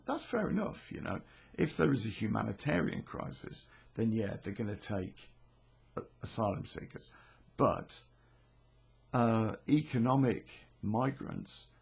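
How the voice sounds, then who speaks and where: A British man with a hoarse, croaky voice, hosting a podcast in a rambling, self-deprecating, sweary way. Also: medium pitch, darkish tone. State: hoarse from illness. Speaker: podcast host and storyteller. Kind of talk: casual chatty intro to a podcast.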